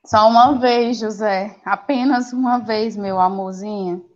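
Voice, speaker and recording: Woman teacher on a lo-fi call. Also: medium pitch. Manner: firm, brisk classroom directions with affectionate nicknames. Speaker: science teacher. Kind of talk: online class instruction. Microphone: video call. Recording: dull lo-fi call audio.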